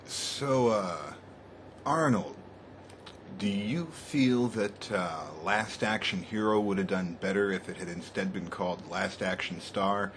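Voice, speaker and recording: Male comedy host, lowish pitch, casual rambling delivery, echoey, noisy recording. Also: slow pace, fairly bright tone. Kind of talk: casual, rambling comic talk. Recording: echoey room with clear background noise.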